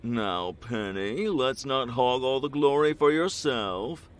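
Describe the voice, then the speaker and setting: A man's voice: mid-range voice, lively intonation, takes it slowly. A man playing a vain, rich, pompous superhero in an audio comedy, speaking theatrically. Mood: condescending.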